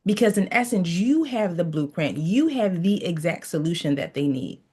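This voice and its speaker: Low-pitched preachy woman coaching. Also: very animated, normal speed, darkish tone, slightly rough. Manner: preachy, repeats phrases, tells listeners to write things down. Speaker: mindset coach. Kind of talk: motivational teaching session.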